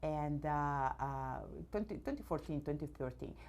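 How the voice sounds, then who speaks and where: Woman, from Venezuela, English speaker, low voice, hesitant restarts, enthusiastic, CEO interviewee. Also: animated, normal speed, a little mellow. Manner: many fillers and restarts, searching for words; enthusiastic about her subject. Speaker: robotics company CEO, guest. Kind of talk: news interview.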